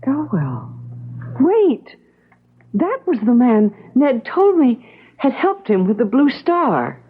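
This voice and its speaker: A woman's voice: high-pitched, huge pitch swings, takes it slowly, slightly rough, exaggerated voice. An actress playing an anxious, bewildered wife of a missing man in old-time radio drama style, earnestly pressing with questions. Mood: alarmed, defensive. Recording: muffled vintage radio broadcast.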